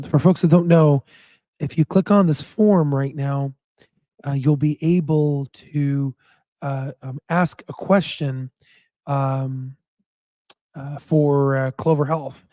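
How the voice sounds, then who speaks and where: A man who hosts a stock market channel, hoarse with his voice nearly gone, talking in a casual rambling way with many fillers and restarts. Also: medium pitch, darkish tone, no room sound. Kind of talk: casual chat and stock analysis.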